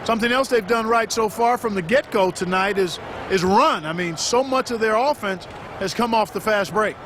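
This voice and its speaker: An actor playing an opinionated TV basketball analyst, conversational, with an American accent. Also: male voice, sits high, normal speed, lightly acted. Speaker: color commentator. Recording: very noisy, loud arena crowd behind. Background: noisy basketball arena crowd.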